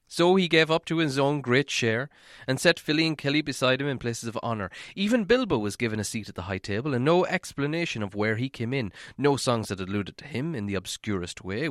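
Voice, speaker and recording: A male audiobook reader narrates a storybook expressively. His voice sits at a medium pitch, and the sound is dry with little room around it. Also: fairly bright tone, dry recording.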